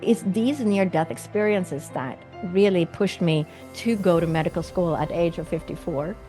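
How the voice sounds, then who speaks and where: Low-voiced Swedish-born woman telling a story. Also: normal speed, dark tone, faint music behind. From Sweden. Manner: earnest, vivid storyteller with light laughs. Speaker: guest, physician and medium. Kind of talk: storytelling interview answers.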